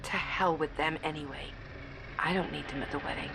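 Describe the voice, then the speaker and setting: An actress playing a besotted young bride drawn into a cult, delivering an intense, breathy diary monologue in an audio drama. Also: female voice, sits low, fairly even intonation, fairly quick, noisy background. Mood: bitter.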